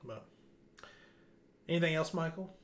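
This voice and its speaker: A man's voice: a little high, lively intonation, measured pace, crowd noise. A man hosting a podcast in casual chat, with a joking, griping way of telling things. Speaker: podcast host.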